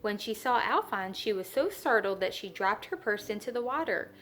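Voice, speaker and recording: A woman's voice: medium pitch, expressive, normal speed, a bit bright. A woman teacher reading a children's story aloud and adding simple explanatory asides.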